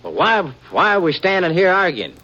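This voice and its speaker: An actor playing a gruff, grudge-holding posse man in a 1950s radio drama. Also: high-pitched, wildly swooping pitch, moderate pace, bright, forceful tone, heavily acted. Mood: bitter, impatient. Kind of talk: 1950s radio western acting. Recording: dull, faintly noisy 1950s radio recording.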